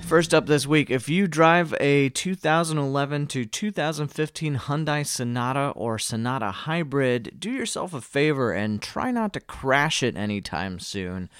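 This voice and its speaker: A man's voice: medium pitch, expressive, normal speed, hardly any pauses, a bit bright, smooth, dry recording, studio quality, faint music behind. An American man with a cold and a slightly wheezy edge, hosting a podcast news rundown with wry, casual asides. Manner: wry, tongue-in-cheek. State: fighting a cold. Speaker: podcast host.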